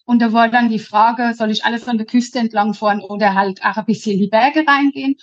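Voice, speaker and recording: A woman with a Lower Franconian accent chats cheerfully as a podcast guest, often starting sentences over. Her voice sits fairly high. The recording sounds dull and lo-fi. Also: fairly even intonation, brisk. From Lower Franconia, Germany. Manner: cheerful, talkative, frequent restarts. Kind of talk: casual interview chat.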